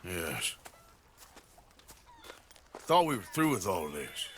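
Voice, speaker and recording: An actor playing a gruff, world-weary American outlaw in a video game, with a rough, gravelly drawl and a sardonic manner. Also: male voice, low-pitched, wildly swooping pitch, takes it slowly, a bit bright, a little hiss or hum, full character voice. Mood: wary, questioning. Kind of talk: dramatic video game acting.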